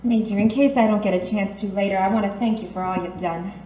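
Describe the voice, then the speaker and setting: A woman's voice: medium pitch, fairly even intonation, fast, mellow, close to an everyday voice. Echoey, brave young dame, acted by a woman. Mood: wistful, anxious. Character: plucky woman on trial, resigned yet brave. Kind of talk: audio drama acting in a detective serial. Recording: echoey, distant, faintly noisy recording.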